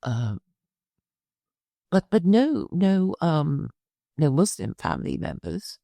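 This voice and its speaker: A woman in her sixties from Malaysia answers interview questions hesitantly, stumbling over fillers and repeats. Her voice sits very low and gravelly yet swings widely in pitch, heard dry and close. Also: sing-song, takes it slowly, mellow, no room sound. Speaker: guest.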